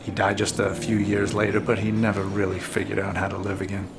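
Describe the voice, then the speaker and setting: A man playing an earnest, humble boat captain in an audio drama, speaking sincerely and a bit haltingly. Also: fairly low pitch, completely flat delivery, close to an everyday voice. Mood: wistful, sympathetic. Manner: halting, sincere, plain-spoken. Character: earnest, humble former boat captain in love-tinged friendship. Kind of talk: naturalistic audio drama acting.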